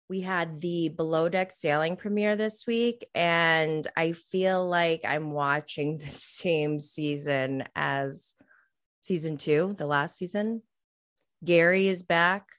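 A woman's voice: fairly low pitch, fairly bright tone. A woman of about forty hosting a podcast, chatting casually on her own in a rambling way that often trails off. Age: around 40. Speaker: podcast host. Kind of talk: casual solo recap chat about reality TV.

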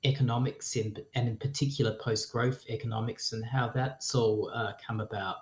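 A man's voice: mid-range voice, fairly even intonation, moderate pace. A man hosting a podcast interview, friendly and joking with his guests. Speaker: podcast host. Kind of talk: casual interview. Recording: echoey room.

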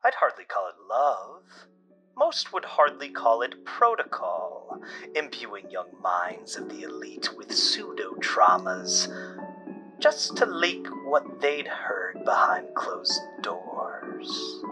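Casting: man as scheming audio-drama doctor, very slow, lively pitch, music bed. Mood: scornful. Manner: drawling, theatrical, whispery asides. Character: scheming theatrical male doctor, sly and pompous. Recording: music underneath.

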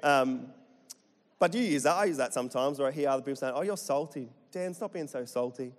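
A man's voice: mid-range voice, fast. A middle-aged Australian man from Central Queensland, preaching a chatty, joking sermon and putting questions to the congregation. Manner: chatty, jokey, asks the crowd questions. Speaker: pastor. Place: church hall. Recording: long hall reverb.

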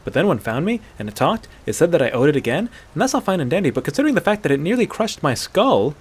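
An indignant young fantasy hunter, voiced by a man narrating, stubborn and sarcastic. His fairly high male voice moves up and down freely as he bluntly challenges and questions. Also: sing-song, fast talker, husky. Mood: breathless, excited. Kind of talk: dramatic fantasy audiobook character voice.

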